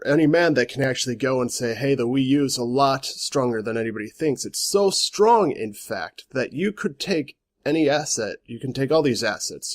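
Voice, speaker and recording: Male vlog host, stuffy nasal cold voice, mid pitch, dry close sound, rambling delivery. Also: no room sound. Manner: rambling, self-correcting. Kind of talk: casual gaming news vlog.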